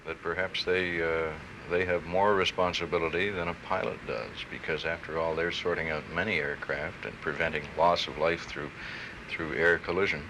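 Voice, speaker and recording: Male TV interviewer, low voice, probing questions, clearly noisy 1970s archive film sound. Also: fairly even intonation, moderate pace. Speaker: television interviewer.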